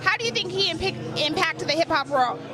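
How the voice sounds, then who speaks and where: Chatty woman host, super high voice, loud music and crowd behind, outdoor party. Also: lively intonation, a little fast. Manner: upbeat, chatty questions. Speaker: red-carpet interviewer. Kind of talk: event interview.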